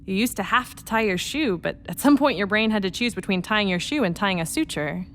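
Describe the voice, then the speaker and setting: A woman's voice: medium pitch, lively intonation, fairly quick, slight background noise, natural voice. A woman acting in a radio play as a playful, anxious spaceship pilot in love, talking casually and earnestly. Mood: worried, earnest. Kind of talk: naturalistic radio drama dialogue.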